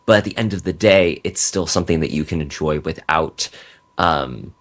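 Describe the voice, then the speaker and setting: Very deep-voiced male author in interview. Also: normal speed. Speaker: podcast guest, novelist. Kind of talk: casual interview talk, articulate book pitch.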